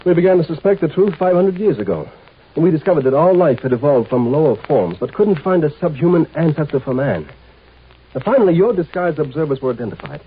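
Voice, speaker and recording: Coolly superior undercover agent, tinny radio. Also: male voice, on the high side, sing-song, fast talker, slightly rough. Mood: smug, explaining. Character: shrewd, superior native agent posing as imperial marshal. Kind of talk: 1950s radio drama acting. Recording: muffled, tinny 1950s radio recording.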